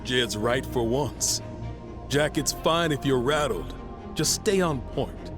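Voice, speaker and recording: A man plays a supportive fantasy game warrior with a medium-pitched voice. He sounds calm and steady, with music clearly behind him. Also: takes it slowly, close to an everyday voice. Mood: reassuring. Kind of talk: English video game voice acting.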